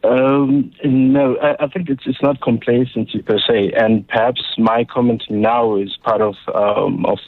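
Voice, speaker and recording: A man's voice: medium pitch, steady tone, unhurried, few pauses, no echo. A Zimbabwean man giving a hesitant, thoughtful comment as a caller to a phone-in, with a Zimbabwean accent. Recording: dull lo-fi phone call on radio.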